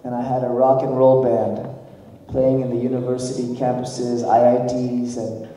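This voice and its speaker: Band leader, forties, slight Nepali accent; easygoing, halting stage chat, distant and roomy. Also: male voice, mid-range voice. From grew up in Nepal. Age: 40s. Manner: relaxed, halting, many fillers. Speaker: band leader introducing the group. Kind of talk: casual stage talk to a congregation. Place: large church hall. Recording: echoey hall from far off.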